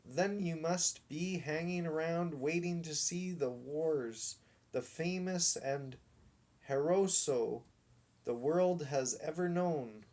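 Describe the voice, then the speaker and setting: A man voicing a shifty, sharp-tempered showman in a children's storybook, scornful, haggling and theatrical. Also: on the high side, slow pace, pitched above the performer's own voice. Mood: sneering. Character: shifty, sneering, sharp-tempered male peep-show showman. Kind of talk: children's storybook read aloud.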